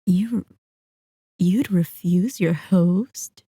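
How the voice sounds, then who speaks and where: A sadistic, possessive female vampire, played by a woman in an ASMR audio drama. She speaks extremely slowly in a mellow, courtly purr, teasing and seductive. The sound is close and dry. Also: lowish voice, slightly rough, no echo, full character voice. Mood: teasing, commanding. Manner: slow, teasing, courtly, purring with soft chuckles.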